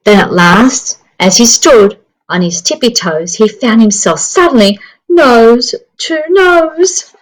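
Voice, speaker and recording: Woman reading a kids picture book out loud, takes it slow, expressive n dramatic. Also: on the high side, rough recording. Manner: deliberate, dramatic phrasing for young children. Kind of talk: expressive children's story read-aloud.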